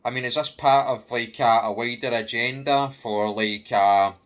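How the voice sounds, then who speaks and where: A Scottish man giving an unscripted personal opinion rant in a halting way, repeating himself and putting questions straight to the listener. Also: mid-range voice, fairly even intonation, fairly bright tone. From Scotland, UK.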